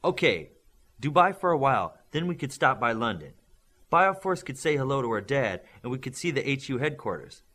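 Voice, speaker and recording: An upbeat teenage leader who plans things out with eager energy, as a male reader voices him. His delivery lifts a little higher and louder than the narration, with lively pitch. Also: normal speed. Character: teen boy, eager peacemaking team leader.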